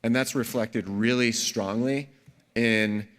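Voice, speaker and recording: A man with a cold giving an energetic conference talk, with frequent fillers and restarts. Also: medium pitch, takes it slowly. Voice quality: a bit congested. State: under the weather, has a cold. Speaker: conference speaker.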